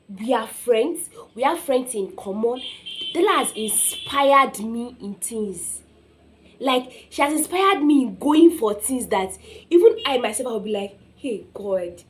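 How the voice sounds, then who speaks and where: A young Nigerian woman speaking as an informal motivational speaker, telling personal stories emphatically, acting out quotes and often checking in with listeners. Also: a little high.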